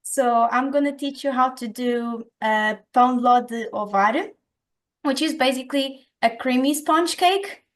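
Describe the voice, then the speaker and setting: A woman from Portugal with a Portuguese accent, explaining a recipe step by step in a calm, patient way. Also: sits high, measured pace. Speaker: guest instructor. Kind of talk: casual recipe instruction.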